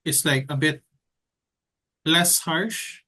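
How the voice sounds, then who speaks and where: A young man with a Filipino accent and a fairly high voice speaks casually, as a guest in an interview. He is measured and hesitant, often pausing as he puts his thoughts together. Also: pushed and bright. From Philippines. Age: young adult. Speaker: editorial cartoonist being interviewed.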